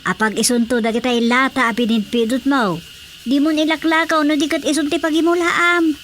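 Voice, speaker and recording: A woman's voice: sits high, steady tone, normal speed, a bit bright, slight background noise. An actress with an Ilocano accent playing a chatty, teasing village woman who loves gardening in a radio drama comedy. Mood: chatty, encouraging.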